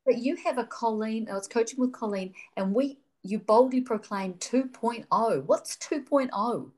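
A woman's voice: on the high side, normal speed, a little mellow. A woman from New Zealand with a New Zealand accent, hosting an interview conversation and asking questions in an effusive, enthusiastic way. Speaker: podcast host.

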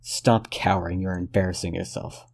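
A man voicing a cold, controlled detective who speaks in a measured, menacing way. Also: deep voice, steady tone, gravelly, darkish tone.

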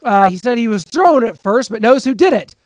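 A man's voice: very high voice, animated, a little fast, darkish tone, no echo. A man co-hosting a podcast, eagerly recounting a TV episode's plot in casual chat. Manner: enthusiastic, recounts plot in detail. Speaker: podcast co-host.

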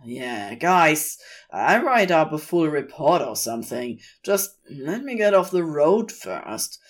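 A woman's voice: low-pitched, sing-song, takes it slowly, heavily acted. A woman voicing a casual, roguish male demon with an offhand manner, in an audio story. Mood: offhand excuse.

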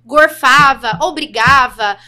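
Young woman guest, high voice, laughs a lot, candid podcast chat. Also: takes it slowly. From interior of São Paulo state. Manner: candid, laughing, lively storytelling. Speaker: podcast guest, reality show participant.

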